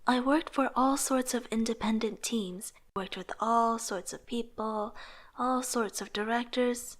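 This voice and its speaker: An earnest young woman acting as a struggling artist narrates her own story in a motion comic. Her voice sits fairly high and is soft and breathy. She delivers scripted lines in an introspective, personal way. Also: fairly even intonation, measured pace. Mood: wry, determined. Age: young adult. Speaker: narrating lead character.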